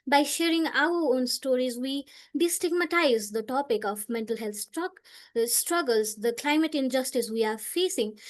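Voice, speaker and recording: A woman's voice: high voice, lively intonation, normal speed. A woman in her twenties from Nepal, a medical student speaking earnestly as a panelist in an online discussion, with frequent fillers and phrasing. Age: 20s. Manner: earnest, uses "like" often, non-native phrasing.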